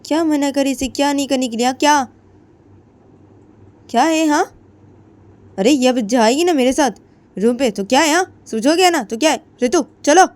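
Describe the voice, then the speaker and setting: A woman voicing a bossy young woman who shouts her orders in a brash, scornful way. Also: high-pitched, normal speed, pauses often, voice pushed up, close to an everyday voice. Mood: scornful, loud. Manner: loud and brash.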